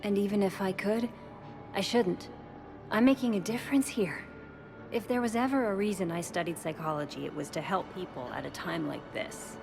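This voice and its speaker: A woman's voice: mid-range voice, moderate pace, vocal fry, natural voice. An actress playing a caring, earnest young woman psychologist in a video game, shaken and emotional in manner. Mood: resolute, regretful. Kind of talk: video game cutscene dialogue. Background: music.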